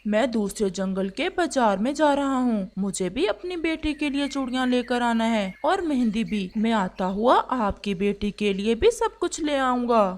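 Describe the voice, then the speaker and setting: Woman as a gentle, earnest father pigeon, highish voice. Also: expressive, a little slow, hardly any pauses. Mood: friendly. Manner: earnest, polite, pleading.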